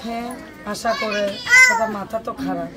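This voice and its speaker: A woman's voice: fairly high pitch, huge pitch swings, moderate pace. An eighteen-year-old woman with a rural central Bangladeshi accent, giving brief, hesitant answers as an interview guest. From Tangail, Bangladesh. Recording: very noisy, loud crowd ambience.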